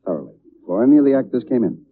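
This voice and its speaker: An actor playing a courteous but excitable theater producer, with a dramatic delivery in a 1940s radio style. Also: male voice, mid-range voice, racing, husky. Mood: serious. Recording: tinny band-limited old radio broadcast.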